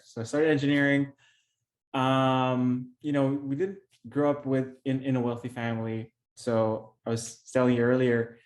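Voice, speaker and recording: Filipino man, middle-aged, interview guest; earnest, halting delivery, roomy echo. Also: medium pitch, a little mellow. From Philippines. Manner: earnest, many restarts and fillers. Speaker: podcast guest, real estate investor. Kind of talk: conversational interview storytelling. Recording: echoey room.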